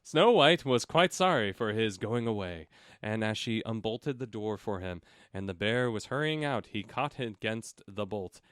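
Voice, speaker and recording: A man's voice: lowish voice, expressive, unhurried, fairly bright tone, clear, resonant tone, dry recording, clean studio sound. A man reading a storybook aloud as a comic co-host, breaking off for casual, irreverent remarks. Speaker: comic audiobook reader and co-host.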